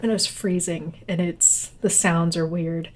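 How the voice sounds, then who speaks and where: A woman co-hosting a podcast in casual conversation, with halting, informal phrasing that trails off. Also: on the low side, steady tone, a little hiss or hum. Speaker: podcast co-host.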